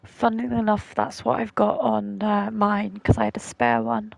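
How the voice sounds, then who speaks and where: A woman live streamer chatting casually while gaming, halting and easygoing. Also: medium pitch, big pitch swings, creaky, lo-fi sound. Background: game music.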